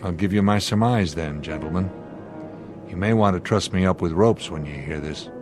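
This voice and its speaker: An actor playing an authoritative airline captain under pressure, explaining deliberately in a radio drama style. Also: male voice, deep voice, lightly acted. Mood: measured, grave. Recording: dramatic music bed under the dialogue.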